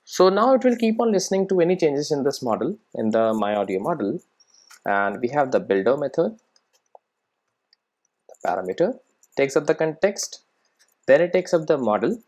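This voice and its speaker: Man teaching code with lively, swinging intonation. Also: mid-range voice. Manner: checks understanding with frequent tag questions. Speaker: programming tutorial instructor. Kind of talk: unscripted step-by-step screencast tutorial.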